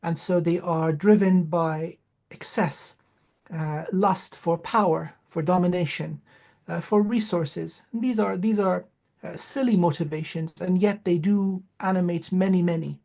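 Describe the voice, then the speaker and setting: A man whose first language is Farsi, speaking as an interview guest with many "uh" pauses and emphatic repetition. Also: high voice, fairly even intonation, gravelly, darkish tone. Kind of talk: spiritual interview talk.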